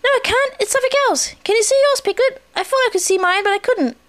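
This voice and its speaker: A woman voicing an excitable, boastful young kangaroo child in a storybook, exclaiming breathlessly and repeating words. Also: extremely high pitch, fast, pitched above the performer's own voice. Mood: eager.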